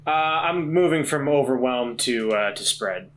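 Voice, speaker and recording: Bright-voiced man talking through a game. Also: mid-range voice, expressive, a little slow. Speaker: player in a tabletop roleplaying game. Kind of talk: casual improvised game talk. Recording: faint guitar music behind the voice. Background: faint guitar music.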